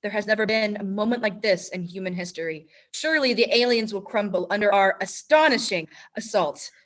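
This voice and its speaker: A woman's voice: mid-range voice, brisk, close to an everyday voice. A teenage girl playing a grandiose war correspondent in a school radio play, narrating battle scenes in lofty broadcaster style. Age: teens.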